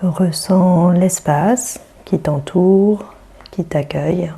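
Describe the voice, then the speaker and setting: A woman leads a guided relaxation very slowly, with long silences between phrases. Her voice is on the low side and husky, with a soothing, hushed delivery. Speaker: yoga nidra guide. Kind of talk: guided meditation.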